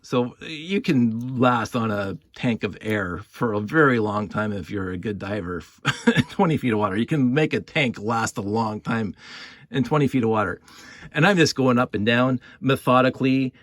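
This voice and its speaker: A man's voice: mid-range voice, normal speed, fairly bright tone, no echo, studio quality. A man telling a story casually and off the cuff, rambling and repeating his words as he goes. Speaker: storyteller and video host. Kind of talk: casual improvised storytelling with toy props.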